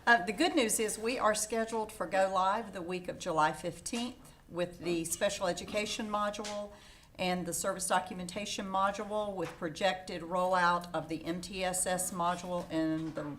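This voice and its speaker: A woman's voice: on the low side, moderate pace, a bit bright. A woman giving a work briefing as a program director on a conference call. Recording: conference audio with clear background noise.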